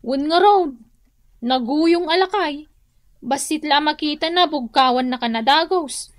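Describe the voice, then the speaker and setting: A woman's voice: high-pitched, unhurried, no echo, clean studio sound. A woman with an Ilocano accent playing a chatty, gossiping street vendor in a radio drama.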